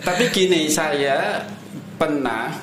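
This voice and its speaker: A man with a Tegal Javanese accent who tells stories in a relaxed way as a podcast guest, mixing regional dialect into his talk. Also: fairly high pitch, slow pace, reverberant, over a noisy crowd, poor audio. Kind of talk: casual conversation.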